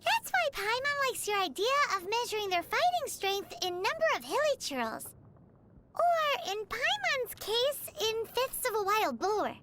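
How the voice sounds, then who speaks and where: A woman voicing a tiny, cheeky, childlike fairy companion in a video game, with a squeaky, emphatic delivery. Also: sing-song, measured pace, very noisy, heavily acted. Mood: insistent. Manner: refers to self in third person, emphatic. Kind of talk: video game character dialogue. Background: music.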